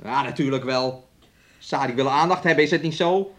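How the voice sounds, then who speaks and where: An actor playing a domineering, resentful young fiancé in a radio play, with a taunting, needling way of talking. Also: male voice, mid-range voice, normal speed, close to an everyday voice. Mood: angry. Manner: speaks of himself and her in third person, taunting.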